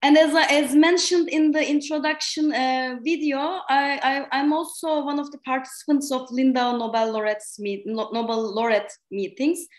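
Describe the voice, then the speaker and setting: A woman's voice: high voice, fairly even intonation, moderate pace, hardly any pauses, echoey room. A woman in her thirties from Turkey with a Turkish accent, a lecturer giving an informal scientific presentation online. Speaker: university lecturer and invited speaker. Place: indoor room, remote connection to a virtual event.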